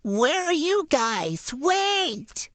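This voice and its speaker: A woman with a fairly high voice improvises puppet show dialogue. She speaks slowly and her pitch moves up and down a lot. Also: big pitch swings, a bit bright, a little hiss or hum.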